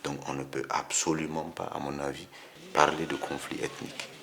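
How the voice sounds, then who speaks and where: Male interviewee, extremely low creaky voice, careful delivery. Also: little pitch movement, normal speed, background noise. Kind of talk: considered interview answers.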